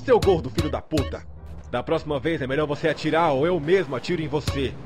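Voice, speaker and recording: Man acting an angry, highish Brazilian gangster. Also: fast talker, over music, lightly acted. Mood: irritated. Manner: swearing, confrontational. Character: hot-tempered, foul-mouthed male street gangster. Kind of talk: Portuguese fan dub of a crime video game. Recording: noisy game audio with vehicle sounds.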